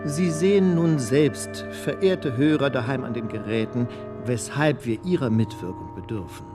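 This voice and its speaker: A courteous, ceremonious radio presenter in a radio play, played by a man. He addresses listeners formally and emphatically in a very animated mid-pitched voice, over faint music. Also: moderate pace, lightly acted. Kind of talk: radio play announcer acting.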